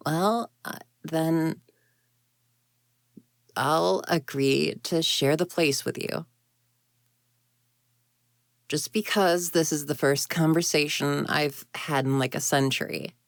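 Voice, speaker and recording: A woman's voice: deep voice, steady tone, slow, creaky, long pauses, no room sound, clean studio sound, full character voice. A woman voicing a grumpy, proud young female ghost in an intimate roleplay audio drama, huffy and easily flustered. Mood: reluctant, shyly hopeful. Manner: huffy, indignant, flustered stammers, conversational.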